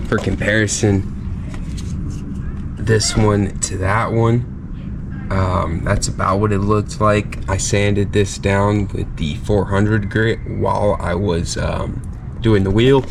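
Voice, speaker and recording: A man's voice: lowish voice, slow pace, gravelly, background noise. A man, sounding stuffy from a cold, talking casually through a do-it-yourself wheel restoration outdoors. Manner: relaxed, chatty, self-deprecating. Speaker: car DIY vlogger. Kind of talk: casual how-to narration. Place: outdoors while working on wheels.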